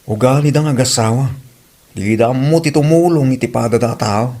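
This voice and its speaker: A man's voice: medium pitch, normal speed, mellow, lightly acted. A man playing a plain-spoken, grumbling village husband in an amateur comedy drama, with a Filipino Ilocano accent.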